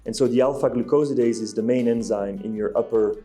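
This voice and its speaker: A man's voice: medium pitch, fairly even intonation, brisk, dark tone, faint music behind. A man from Belgium, answering interview questions as a company executive, hesitant with many ums and restarts. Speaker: guest, ingredient company executive.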